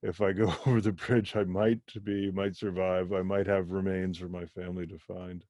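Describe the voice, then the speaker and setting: A man's voice: low voice, little pitch movement, normal speed, darkish tone, no echo. A man conducting a conversational interview, listening attentively and putting in short reactions between his questions.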